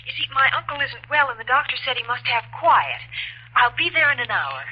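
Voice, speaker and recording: High-voiced prim fiancée, old radio. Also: female voice, very animated, brisk. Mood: affectionate. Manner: proper, indignant, theatrical. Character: prim, easily offended young fiancée. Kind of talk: 1950s radio drama acting. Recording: muffled old radio recording, faint hiss.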